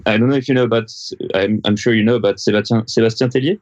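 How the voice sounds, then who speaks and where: A man with a French accent, a musician guest answering interview questions haltingly, searching for his words. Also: on the low side, steady tone, a little mellow, dry recording, muffled recording. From France.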